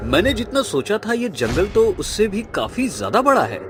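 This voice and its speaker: An excitable, curious young man from a cartoon tale, as a male narrator plays him. He exclaims in a raised, animated voice with clear background music. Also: high voice, moderate pace, pitched above the performer's own voice. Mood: weary. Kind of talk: cartoon story acting.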